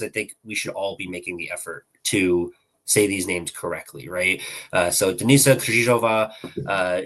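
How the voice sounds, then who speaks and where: Low-voiced man, hedging hockey analyst. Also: fairly bright tone, rough recording. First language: not Czech. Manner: hesitant, many ums and restarts. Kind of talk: podcast interview chat.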